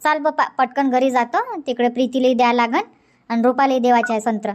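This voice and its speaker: Busy, folksy village auntie for cartoon comedy, played by a woman: high-pitched, talkative, repetitive, Varhadi Marathi accent. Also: fairly even intonation, fast talker, slight background noise, close to an everyday voice. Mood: busy, chatty. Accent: Varhadi Marathi from Vidarbha.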